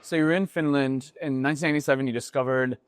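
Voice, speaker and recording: A man's voice: mid-range voice, steady tone, very fast, smooth, dry recording, studio quality. A man hosting an interview in a casual chat, asking friendly, curious questions and prompting his guest. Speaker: interview host.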